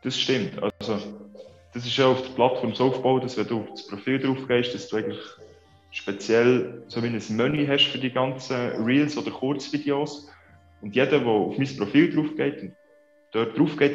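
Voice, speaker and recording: A man's voice: medium pitch, steady tone, moderate pace. Swiss German man, echoey dull sound. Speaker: interview guest, social media creator. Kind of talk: casual interview chat. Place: small room indoors on a video call. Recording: echoey, dull video-call sound, music behind.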